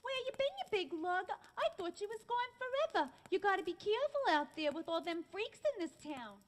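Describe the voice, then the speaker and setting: A woman's voice: very high-pitched, sing-song, fairly quick. A woman playing a brash, foul-mouthed, bossy broad with slangy tough talk in an old-time radio comedy style. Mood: sweetly brassy. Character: brash, foul-mouthed woman sweetly bossing her monstrous lover. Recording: noisy recording.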